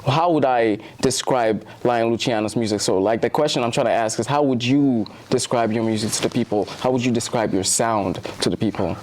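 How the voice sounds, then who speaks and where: A man hosts a music interview, with a voice in an ordinary middle pitch. He asks his questions in a relaxed way, uses hip-hop slang, and often circles back to rephrase them. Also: fairly quick, hardly any pauses. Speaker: interview host who also makes music.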